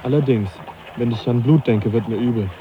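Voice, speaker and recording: An actor playing an uneasy, dryly ironic young naturalist in a radio play. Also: male voice, medium pitch, brisk, narrow, tinny audio, natural voice. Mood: wry. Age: young adult. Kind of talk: 1980s radio play acting.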